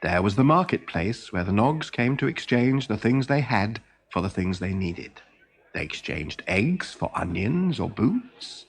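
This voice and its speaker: A man reading a children's story in an animated, expressive storytelling manner. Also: lowish voice, unhurried, creaky, faint music behind. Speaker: story reader. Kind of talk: scripted children's storybook narration.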